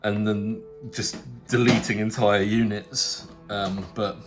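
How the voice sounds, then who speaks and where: A man's voice: lowish voice, little pitch movement, slow pace, music in the background. A man with a cold and a nasal voice, hosting a casual tabletop wargaming unboxing. He rambles chattily, full of fillers and restarts. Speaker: tabletop wargaming channel host.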